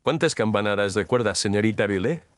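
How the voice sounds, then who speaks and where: A man performs an incisive Victorian detective in an audio mystery drama. The voice sits low and is recorded very dry, with a sententious, ironic way of putting questions. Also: animated, a little fast, no room sound, close to an everyday voice. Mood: probing. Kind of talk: scripted audio drama dialogue.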